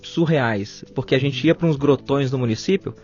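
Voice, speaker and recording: A man in his forties from Espírito Santo, Brazil, a physician telling stories in a relaxed, casual interview. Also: mid-range voice, moderate pace, muffled recording, faint music behind. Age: 40s. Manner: relaxed, storytelling, uses "cara" and "né". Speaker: physician and writer, podcast guest.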